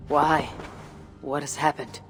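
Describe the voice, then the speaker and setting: An actor playing a calm, determined Viking warrior in a video game cutscene. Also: male voice, a little high, slow pace. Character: steady male Viking warrior, calm and resolute. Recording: game audio with reverb and music.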